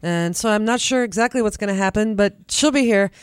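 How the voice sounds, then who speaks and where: A woman's voice: mid-range voice, fairly quick, clear, resonant tone, dry recording, studio quality. A woman hosting a radio interview, asking friendly, curious questions. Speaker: radio host.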